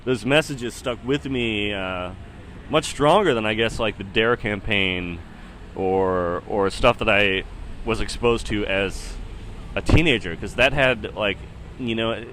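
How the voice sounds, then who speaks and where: A man's voice: lowish voice, measured pace, fairly bright tone, a little hiss or hum. A man in his thirties giving casual, rambling spoken commentary in a hesitant, musing way that often trails off. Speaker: solo podcast host.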